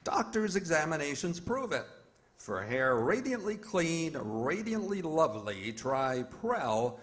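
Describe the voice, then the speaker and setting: A man's voice: on the high side, big pitch swings, takes it slowly, a bit bright. A man announcing in a scripted 1940s radio style.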